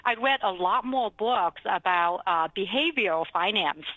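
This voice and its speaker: A woman's voice: mid-range voice, animated, measured pace, dry recording, narrow, tinny audio. A middle-aged woman from China with a Chinese accent, speaking conversationally as a financial advisor being interviewed. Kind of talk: conversational interview, telling her career story.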